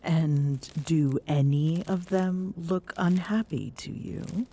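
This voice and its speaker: A woman playing a regal, smitten Amazonian queen in an intimate ASMR romance roleplay, speaking formally and softly with coy pauses, often near a whisper. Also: low voice, full character voice. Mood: earnest, murmuring. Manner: formal, haughty diction with coy hesitations and murmured endearments.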